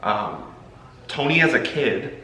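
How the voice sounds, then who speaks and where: A man's voice: mid-range voice, lively intonation, slow pace, sharp tone. A man chatting casually through a film review, rambling and opinionated as he retells things. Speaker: co-host discussing a movie. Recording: roomy echo, faint background noise.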